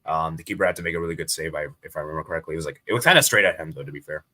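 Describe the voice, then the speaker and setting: A man's voice: extremely low pitch, very fast, bright, forceful tone. A man from Bangladesh giving hedged but firm opinions in a casual football discussion. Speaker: football podcast guest.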